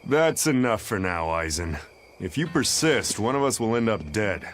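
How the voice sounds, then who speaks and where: An actor playing a swaggering, taunting rogue fighter in a video game, slangy and carefree. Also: male voice, lowish voice, big pitch swings, moderate pace, soft background music. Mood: cool swagger. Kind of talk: English video game voice acting.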